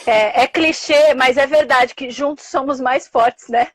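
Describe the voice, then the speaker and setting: A woman's voice: high-pitched, steady tone, a little slow, dry recording. A young adult woman with a Brazilian Portuguese accent, hosting a live interview and thanking her guest effusively. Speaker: host.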